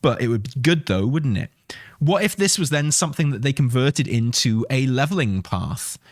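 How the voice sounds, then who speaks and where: A man's voice: medium pitch, expressive, moderate pace, dry recording, studio quality. A man giving casual, enthusiastic gaming commentary, thinking aloud in an emphatic, conversational way and repeating himself for emphasis. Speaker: video game commentator and host.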